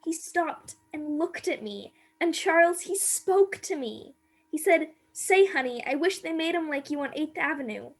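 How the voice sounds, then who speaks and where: Lady playing a wistful naive girl in love, really high voice, earnest radio drama delivery. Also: expressive, unhurried. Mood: dreamy, longing. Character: wistful, naive young woman, romantic, secretly longing to escape. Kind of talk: radio play acting.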